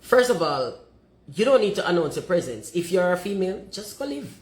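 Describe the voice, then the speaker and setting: A Jamaican woman with a deep voice, talking forthrightly and emphatically and slipping into patois. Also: big pitch swings, brisk, reverberant. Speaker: commentator.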